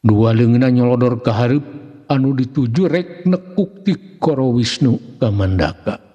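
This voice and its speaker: A man with a Sundanese accent narrating a folk tale in a theatrical, expressive way, with a husky voice. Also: medium pitch, unhurried, lo-fi sound. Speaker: storyteller. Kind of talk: dramatic scripted narration of a folk mystery tale. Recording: long hall-like reverb.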